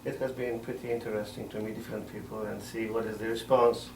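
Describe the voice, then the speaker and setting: A middle-aged man, a missionary priest answering interview questions in a measured way, often pausing to find words. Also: fairly low pitch, little pitch movement, background noise. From Poland. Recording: roomy sound from a distant mic.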